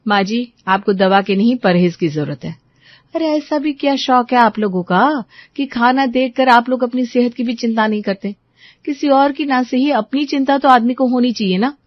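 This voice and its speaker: A woman's voice: on the high side, moderate pace, dry recording, lo-fi sound, close to an everyday voice. A woman voicing a strict, fed-up young daughter-in-law, firm and lecturing, in a family story. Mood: scolding.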